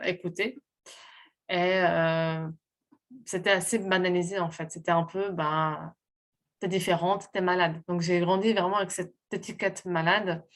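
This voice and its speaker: A woman from Portugal, a guest, sharing a sincere personal testimony while pausing and searching for her words. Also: fairly low pitch.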